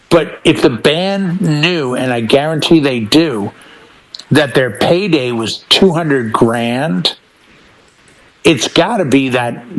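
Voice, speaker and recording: A man's voice: medium pitch, low-quality recording. Casual man telling music-business anecdotes. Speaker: DJ and podcast panelist. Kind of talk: casual panel chat, anecdotal storytelling.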